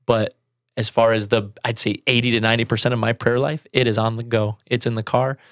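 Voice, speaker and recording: A man's voice: medium pitch, little pitch movement, fairly quick, a bit bright, dry recording, clean studio sound. A man in his thirties co-hosting a church talk show, telling stories casually. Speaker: church pastor and co-host.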